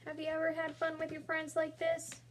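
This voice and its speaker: A woman reading a children's picture book aloud, gently talking to young listeners between pages and voicing the characters with expression. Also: high voice, monotone, normal speed, a little hiss or hum. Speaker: storybook reader. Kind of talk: children's read-aloud with asides.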